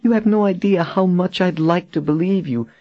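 A man's voice: high voice, normal speed, lo-fi sound, pitched above the performer's own voice, close to an everyday voice. A man voicing a frightened, demure enslaved woman in an audiobook, pleading with urgency. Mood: anguished pleading.

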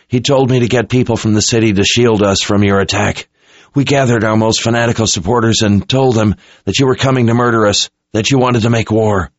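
A man's voice: on the low side, no room sound, voice pushed up, natural voice. A man voicing a captured enemy officer, a dazed yet smugly menacing thug in a fantasy audiobook. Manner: mumbling, halting. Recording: muffled, dull-sounding recording.

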